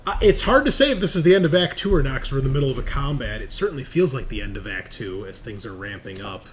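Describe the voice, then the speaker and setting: Male tabletop game master, mid-pitched, casual with rules, dramatic and sweary in narration. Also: big pitch swings, quick pace, a crowd in the background.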